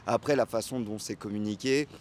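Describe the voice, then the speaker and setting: A man's voice: on the low side, a little fast, a little mellow. A man in his thirties giving his opinion casually in a street interview. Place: outdoors on a city street. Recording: faint urban street noise, traffic.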